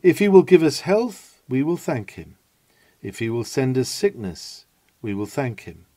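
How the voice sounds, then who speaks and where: Man with a dark, dry, solemn sermon voice. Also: mid-range voice, big pitch swings, no echo. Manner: rises and falls for emphasis, solemn pauses. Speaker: reader of a historic sermon. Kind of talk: dramatic sermon reading.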